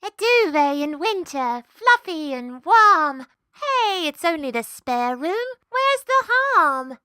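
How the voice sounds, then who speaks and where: A tiny male mouse from a children's storybook, acted out by a woman, squeaking at an extremely high pitch. He is stubborn and wheedling, pleading his case in bright, swooping tones. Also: big pitch swings, slow pace, no echo, studio quality, full character voice. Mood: cosy, cheeky. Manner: pleading, eager.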